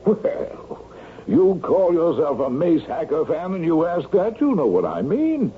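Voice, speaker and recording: An actor playing an older, pedantic and insistent book buff, fussy and knowing, in a radio drama. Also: male voice, on the high side, big pitch swings, unhurried, breathy edge, narrow, tinny audio, exaggerated voice. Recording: thin band-limited radio broadcast, background noise.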